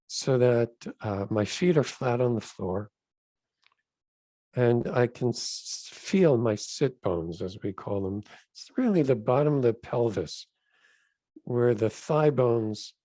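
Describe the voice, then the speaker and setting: A man leading a guided meditation as a rabbi, phrasing each instruction gently and deliberately, with pauses between short phrases. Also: medium pitch, no room sound.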